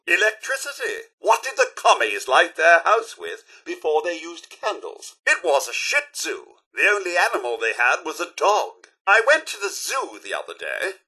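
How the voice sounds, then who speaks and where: An actor playing a prim, easily affronted British robot butler in a video game, speaking in formal, theatrical, wordy phrases with a raspy edge. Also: male voice, high voice, sharp tone, heavily acted. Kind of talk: video game companion dialogue.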